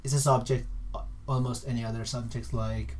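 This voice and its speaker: A young man from Finland with a Finnish accent, a native Finnish speaker, explaining things casually in a halting way, repeating and rephrasing himself. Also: mid-range voice, little pitch movement, darkish tone, slight background noise. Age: young adult. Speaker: vlogger. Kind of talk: casual informal explainer to camera.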